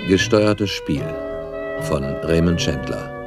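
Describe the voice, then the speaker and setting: Low man's voice reading out drama credits, big brass music blaring behind. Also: animated, takes it slowly. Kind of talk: scripted radio drama announcement. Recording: loud brass music under the voice. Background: brass instrument music.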